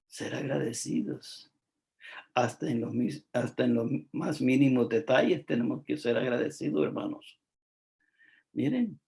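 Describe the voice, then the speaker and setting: A man preaches a devotional sermon, speaking at a slow, unhurried pace. His voice is gravelly and mellow, and he leans on emphatic repetition with frequent fillers. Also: mid-range voice. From El Salvador.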